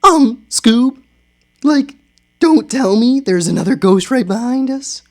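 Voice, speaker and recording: A man's voice: very high voice, lively intonation, takes it slowly, voice pushed up, full character voice. A man voicing a jittery, cowardly teenage boy who stammers and keeps saying like and man. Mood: scared. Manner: stammering, says like and man constantly. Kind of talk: dramatic comic reading.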